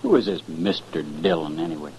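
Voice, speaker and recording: A man's voice: low voice, very animated, a little fast, creaky, a little mellow, a little hiss or hum, exaggerated voice. A man acting a defiant, evasive young drifter accused of murder in a 1950s western radio drama, surly and clipped. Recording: very muffled, thin old radio recording.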